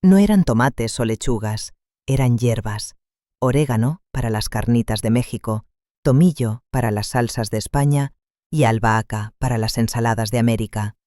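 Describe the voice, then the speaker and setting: Mellow man narrating a calm story. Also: medium pitch, moderate pace, no echo, clean studio sound. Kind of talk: scripted first-person story narration.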